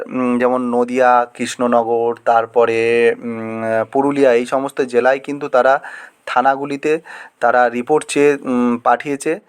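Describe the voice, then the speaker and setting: Man giving halting updates. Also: mid-range voice. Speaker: exam-prep YouTube channel host. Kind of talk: informal news update to students.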